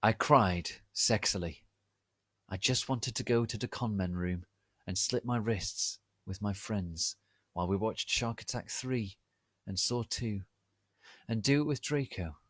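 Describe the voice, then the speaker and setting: A man giving a theatrical dramatic reading as narrator, with deliberate, mock-solemn, melodramatic emphasis. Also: fairly low pitch, fairly bright tone, dry recording. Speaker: narrator reading fan fiction aloud.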